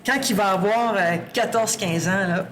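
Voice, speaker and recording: A woman's voice: medium pitch, moderate pace, a bit bright, slight background noise. A woman with a Québécois French accent, a guest talking candidly and at length in a casual podcast conversation, often restarting her sentences. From Quebec. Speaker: guest, sustainability executive.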